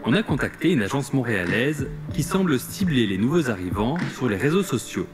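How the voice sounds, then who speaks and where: A man's voice: medium pitch, big pitch swings, a little fast, music in the background. A man reading scripted narration for an investigative television news report.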